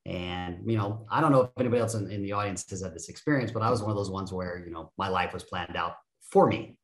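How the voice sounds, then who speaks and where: Man, 50s, podcast guest; animated, self-deprecating storyteller; lowish voice, echoey room. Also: fast. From United States. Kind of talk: casual interview talk.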